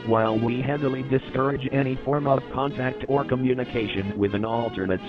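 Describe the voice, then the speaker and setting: Guy playing grave male emergency broadcast announcer, formal and measured, muffled old tape, music clearly behind. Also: mid-range voice, steady tone, close to an everyday voice. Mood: grave, instructive. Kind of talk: public safety announcement, analog horror.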